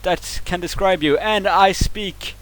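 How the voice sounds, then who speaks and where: A man with a Swedish accent and a somewhat high-pitched voice vents in a heated, profane rant. He stumbles and restarts words as his anger builds. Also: slow, a bit bright, poor audio. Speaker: vlogger reacting to a video. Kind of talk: angry rant to camera.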